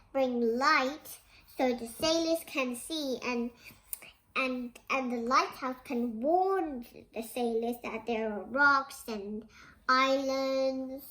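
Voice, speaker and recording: A woman's voice: high-pitched, bright, forceful tone, rough recording. A young girl reads a children's picture book aloud, explaining it and asking questions like a little teacher. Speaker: young storybook reader.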